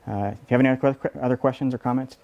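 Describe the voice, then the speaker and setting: A man hosting a casual audio equipment demo, explaining in a halting, loosely improvised way. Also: medium pitch, steady tone, darkish tone, dull audio. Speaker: video host, audio equipment reviewer.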